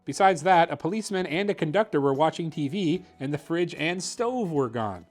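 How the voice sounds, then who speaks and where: Higher-voiced man reading a children's story over music. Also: expressive, normal speed. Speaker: host reading children's picture books. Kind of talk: expressive bedtime story narration for kids.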